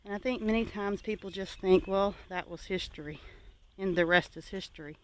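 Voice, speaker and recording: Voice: mid-range voice, normal speed. A homesteading vlogger from the United States giving an informal, reflective talk to viewers in an earnest, plainspoken, advisory manner.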